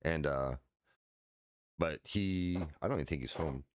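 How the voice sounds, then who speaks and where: A man in his forties, a streamer with a deep voice, chatting loosely at home with many fillers and restarts and casual swearing. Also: measured pace, dry recording, clean studio sound. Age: 40s. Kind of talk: casual rambling chat while sim racing. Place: his house.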